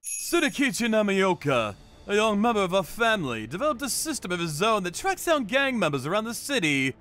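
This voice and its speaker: An actor playing a hot-headed, boastful young yakuza with an emphatic, combative delivery in a scripted anime dub. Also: male voice, sits high, sing-song, brisk, bright, forceful tone, faint music behind, heavily acted. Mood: eager.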